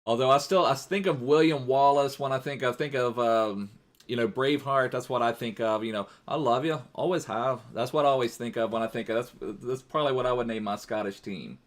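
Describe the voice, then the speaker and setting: A man's voice: mid-range voice, fairly quick. Chatty male game streamer. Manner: chatty, rambling, greets and thanks viewers. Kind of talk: casual live-stream gaming chat.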